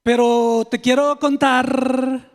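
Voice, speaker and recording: A Salvadoran man preaches in a very high-pitched voice, with long reverb trailing his words. He tells personal stories like a pastor confiding in his listeners. His delivery is informal and direct, closer to conversation than oratory. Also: level tone, slow. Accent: Salvadoran Spanish. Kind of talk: conversational sermon with personal storytelling.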